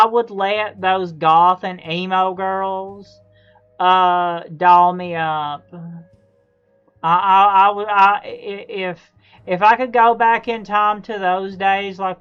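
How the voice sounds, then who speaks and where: A man in his thirties chatting casually as a live streamer, halting and repeating his phrases over and over. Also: high voice, smooth, highs cut off. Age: 30s. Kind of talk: casual chat while gaming.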